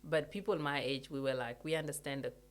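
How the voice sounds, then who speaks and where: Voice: mid-range voice, fairly even intonation, brisk. A young adult from Sudan, talking through a casual chat in an earnest way that keeps repeating points, with light laughs. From South Sudan. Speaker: guest in a conversation.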